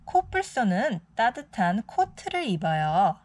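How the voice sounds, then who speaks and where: Woman with lively storytime intonation. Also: medium pitch, racing. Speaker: children's storytime host. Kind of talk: picture book read-aloud for young children.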